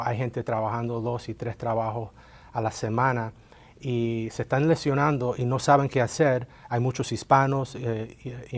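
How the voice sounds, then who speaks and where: Hesitant man guest, Colombian-born, explains the law with frequent fillers. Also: mid-range voice. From Colombia, raised in Miami. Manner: hesitant, many filler sounds. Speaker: workers' compensation lawyer, guest. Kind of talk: interview answers.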